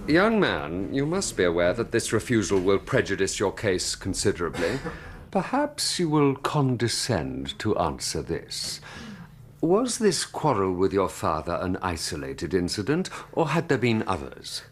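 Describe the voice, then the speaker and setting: A pompous courtroom examiner in a radio drama. His low voice swoops dramatically through sceptical, clipped questions, over faint background noise. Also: huge pitch swings, a little slow, full character voice. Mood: scornful, impatient. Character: stern, sceptical male inquest examiner, pompous and probing.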